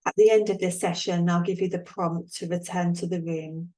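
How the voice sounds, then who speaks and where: A woman with a slightly low-pitched voice guides a relaxation meditation. She speaks calmly and soothingly, leaving unhurried pauses between her gentle instructions. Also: poor audio.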